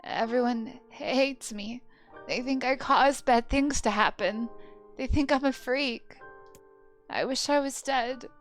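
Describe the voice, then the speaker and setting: A woman voicing a bullied young orphan girl who speaks softly in despair. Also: sits high, takes it slowly, faint music behind, pitched above the performer's own voice.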